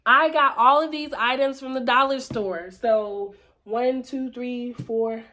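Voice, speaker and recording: Young woman vlogger, stuffy and nasal from a cold, playful, fairly high voice. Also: steady tone, takes it slowly, pushed and bright. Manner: chatty, playful, addresses viewers as y'all. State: sick, nasal. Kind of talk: casual vlog chat.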